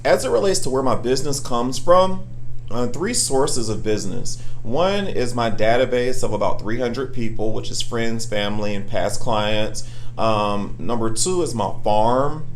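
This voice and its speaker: A man's voice: medium pitch, animated, a little slow, a little hiss or hum, poor audio. A man, a real estate agent speaking as a podcast guest in a confident, upbeat, polished manner. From Athens, Georgia. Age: 30s. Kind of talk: podcast interview. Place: indoor office. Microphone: podcast mic.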